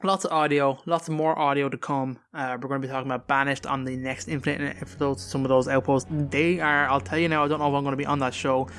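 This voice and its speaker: A man's voice: mid-range voice, level tone, fast, hardly any pauses, a bit bright, faint music behind. A man hosting a podcast, talking casually and informally with emphatic opinions. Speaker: podcast host.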